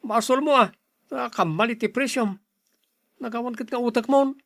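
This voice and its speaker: A man's voice: very high voice, moderate pace, vocal fry, fairly bright tone, no echo, heavily acted. A man with an Ilocano accent playing an irritable, fault-finding older brother and shopkeeper in a family drama, blunt and scolding. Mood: angry, scolding. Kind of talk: radio-style family drama acting.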